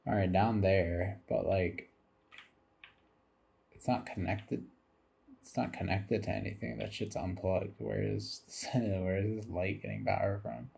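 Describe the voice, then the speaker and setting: A man's voice: lowish voice, fairly even intonation, measured pace, vocal fry, a little mellow, slightly rough. A tired man giving rambling, casual commentary over game footage, musing aloud as he goes and swearing casually.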